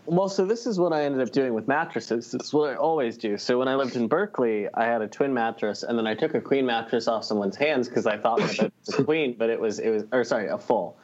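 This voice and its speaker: A man's voice: medium pitch, fast, hardly any pauses, darkish tone. A man with a mid-range voice co-hosts a casual podcast among friends. He talks in a wry, self-deprecating way and swears freely. The audio is a little muffled. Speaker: podcast co-host.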